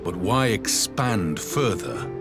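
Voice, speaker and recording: A man's voice: fairly low pitch, big pitch swings, slow, over loud music, heavily acted. An actor playing a grave, direct man in video game dialogue. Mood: challenging. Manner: direct, solemn. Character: blunt, grave man demanding answers.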